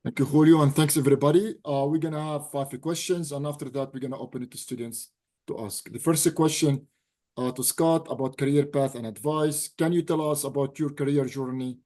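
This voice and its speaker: A man acting as professor and host, introducing a guest with halting uhs. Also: medium pitch, steady tone, mellow. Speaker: professor hosting. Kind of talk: hosting a class talk.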